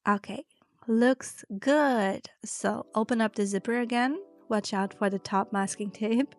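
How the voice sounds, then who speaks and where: A woman's voice: medium pitch, a little mellow. German-accented woman giving craft instructions. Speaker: sewing tutorial host. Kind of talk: step-by-step craft instruction, casual voice-over. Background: background music.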